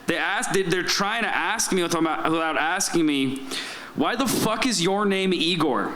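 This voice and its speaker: A man delivers a wry, self-deprecating spoken-word comedy set into a stage mic at a lounge open mic. His voice sits a little high for a man, and a faint hiss of noise runs beneath it. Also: lively intonation, a little slow, bright, forceful tone. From Soviet Union, raised in the United States.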